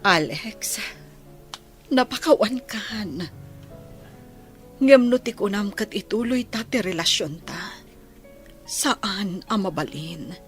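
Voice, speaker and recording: A woman playing a poor, blind, long-suffering mother in a radio drama, speaking softly and with resignation. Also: mid-range voice, lively intonation, slow, pauses often, faint music behind. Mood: resigned. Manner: soft, resigned, apologetic.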